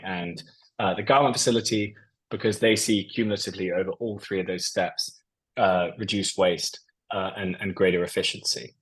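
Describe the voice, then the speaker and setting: Male presenter, lowish voice, casual business pitch, filler-heavy. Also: rough recording. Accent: non-Portuguese. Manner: loose and conversational, many fillers. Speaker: company presenter. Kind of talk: business presentation over slides.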